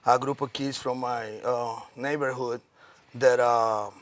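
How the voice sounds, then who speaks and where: A man from Rico, a wrestling guest answering interview questions haltingly, with many restarts. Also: mid-range voice, fairly even intonation. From Puerto Rico.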